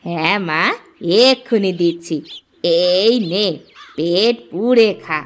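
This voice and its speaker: A woman playing a cruel, scolding village stepmother in an animated story, with a sharp, sarcastic, nagging manner. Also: high-pitched, moderate pace, full character voice. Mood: doting. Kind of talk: Bengali animated cartoon story acting.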